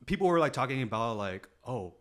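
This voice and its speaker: A man co-hosting a podcast in casual chat, laid-back, filling his talk with "like" and "you know what I mean", and trailing off quietly at the ends of phrases. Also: medium pitch, big pitch swings, fairly quick. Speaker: podcast co-host.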